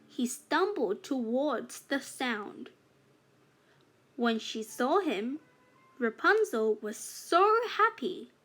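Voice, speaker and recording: A woman reading a children's fairytale aloud as a storybook narrator, with deliberate phrasing for young learners. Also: high voice, lively intonation, a bit bright.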